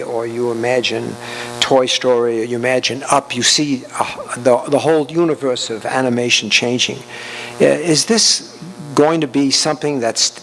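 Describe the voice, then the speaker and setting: A man's voice: medium pitch, fairly bright tone. A man with a mid-range voice puts questions to a panel of filmmakers and feels his way through each one. His voice carries a long hall reverb and a faint hum behind it. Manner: searching, halting questions. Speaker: panel moderator. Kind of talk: moderating a panel.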